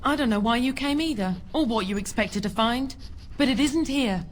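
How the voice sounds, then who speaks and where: A woman's voice: on the high side, moderate pace, natural voice. Game role: scornful, hard-up mother played by a woman, accusing and resentful, some noise behind. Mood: angry contempt. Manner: scornful, accusing, working-class phrasing. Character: bitter, poor, scornful mother of five, commoner. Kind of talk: video game voice acting.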